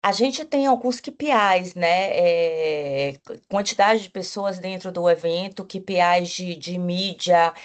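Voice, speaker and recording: A woman's voice: on the low side, measured pace. A woman talks through her agency's work at a panel. She is enthusiastic and often restarts her words, adding "né?" as she goes. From Bahia, Brazil. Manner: enthusiastic, with frequent restarts and "né?" tags. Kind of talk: panel talk.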